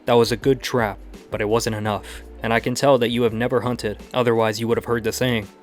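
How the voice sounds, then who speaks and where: A man's voice: mid-range voice, flat delivery, brisk, soft background music, natural voice. A man voicing a boastful, menacing hunter villain who gloats over his prey. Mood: cold. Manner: gloating, threatening.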